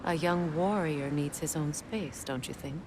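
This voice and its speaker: A proud warrior goddess queen, measured and commanding. The voice sits a little low for a woman. A steady noise bed runs under the game audio. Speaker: video game character.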